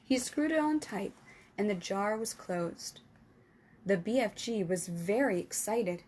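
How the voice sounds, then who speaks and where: Slow storytelling woman. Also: mid-range voice, animated, a little mellow, a little hiss or hum. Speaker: storytime reader. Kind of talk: reading a children's book aloud.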